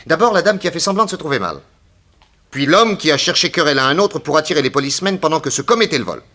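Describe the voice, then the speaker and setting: An actor playing a self-assured private detective in a French radio drama, brisk, methodical and authoritative. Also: male voice, fairly high pitch, expressive, pushed and bright. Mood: probing, assured.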